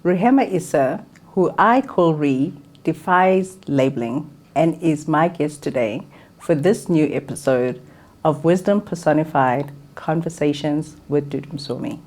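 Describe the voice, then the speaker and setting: Low-voiced woman hosting an interview. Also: a little slow, darkish tone. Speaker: podcast host. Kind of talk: interview conversation.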